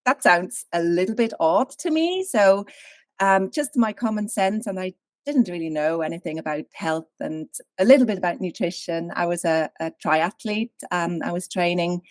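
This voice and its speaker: A woman in her thirties, originally from Switzerland, speaking as an interview guest and telling a personal story in a candid, animated way over a video call. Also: fairly low pitch, normal speed, no room sound.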